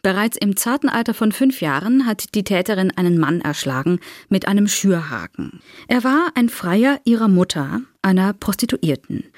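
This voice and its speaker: A woman's voice: medium pitch, sing-song, normal speed, dry recording, studio quality. A woman reading a scripted case summary as a podcast narrator.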